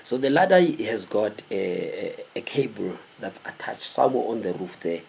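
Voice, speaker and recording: A South African man in his thirties tells a story casually to camera, animated and digressive, repeating words for emphasis in a husky voice. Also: on the low side, moderate pace, a little hiss or hum. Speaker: vlogger telling stories. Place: small indoor room with a cloth backdrop.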